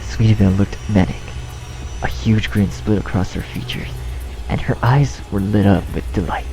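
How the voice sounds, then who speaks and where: A man's voice: lowish voice, moderate pace, low-quality recording. A man reading a scripted story as narrator, in a dramatic storytelling style. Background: music.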